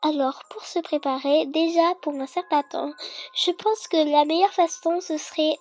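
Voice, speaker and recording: A woman's voice: high-pitched, steady tone, moderate pace, darkish tone, faint music behind. A young girl with a congested, sick voice, casually explaining a video game in a hesitant, rambling way. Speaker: gaming video creator. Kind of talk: casual game tutorial commentary.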